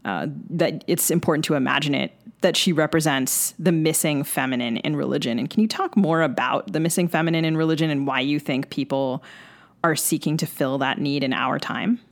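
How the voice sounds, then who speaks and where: A woman's voice: deep voice, a little fast, vocal fry. A woman co-hosting a literary podcast, delivering prepared questions and announcements. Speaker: podcast co-host.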